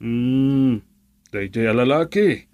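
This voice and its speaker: A man's voice: mid-range voice, expressive, slow, darkish tone, no echo. A man with an Ilocano accent playing a probing, protective middle-aged father in a radio drama. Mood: wary, questioning.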